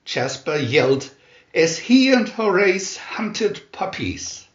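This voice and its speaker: A man narrating a children's story in a deliberate, expressive read-aloud manner. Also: high voice. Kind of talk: scripted children's story reading. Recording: roomy, echoey, dull sound.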